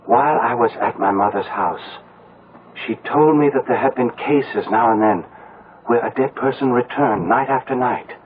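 A narrow, tinny vintage radio broadcast carries a grave village man, played by a male actor. His mid-pitched voice is deliberate and portentous, full of superstitious dread in the manner of a gothic radio play. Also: close to an everyday voice. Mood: earnest. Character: solemn, fearful village man who believes in vampires. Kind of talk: 1970s radio mystery acting. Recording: muffled, narrow-band old radio broadcast.

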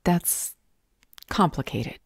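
A woman playing a calm, protective vampire healer, a motherly mentor speaking earnestly in an audio roleplay. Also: very slow, no room sound, studio quality. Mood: patient, evasive.

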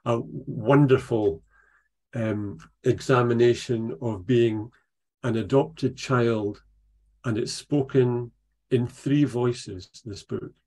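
A man in his sixties with a Dundee Scots accent, a poet leading an online writing workshop over a video call from an attic room at home, thoughtful and unhurried, with frequent restarts. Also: mid-range voice, steady tone, darkish tone. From Scotland. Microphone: video call, laptop camera.

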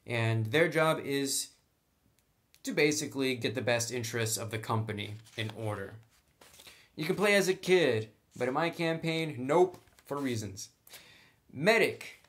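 A man's voice: mid-range voice, a bit bright. Casual man giving a chatty tutorial. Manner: chatty, informal, slangy. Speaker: host of a tabletop game tutorial channel. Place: indoors at a tabletop.

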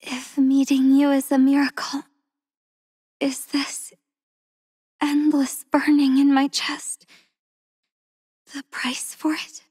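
Soft, tender game heroine, young and devoted, high-pitched, slow and breathy, dry studio sound. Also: female voice, monotone, no room sound. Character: gentle devoted young woman healer, soft-spoken and tender. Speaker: voice actress for a game character. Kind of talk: game character voice lines.

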